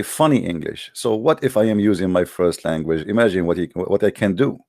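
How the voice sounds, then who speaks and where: A man's voice: sits low, a little mellow, no room sound, clean studio sound. A man from the Middle East, a native Arabic speaker with an Arabic accent in English, hosting a religious call-in debate with a combative, boastful, emphatic monologue in short phrases.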